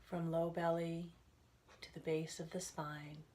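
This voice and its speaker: A woman leading a guided relaxation as a meditation instructor, speaking gently and soothingly in unhurried phrases with pauses. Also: low voice, little pitch movement, slight background noise.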